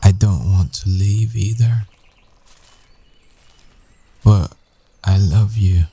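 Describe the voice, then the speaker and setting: A man playing a tender, weary werewolf ex-boyfriend in an intimate ASMR roleplay, with hushed, halting delivery. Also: on the low side, breathy edge, exaggerated voice. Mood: tender, reluctant.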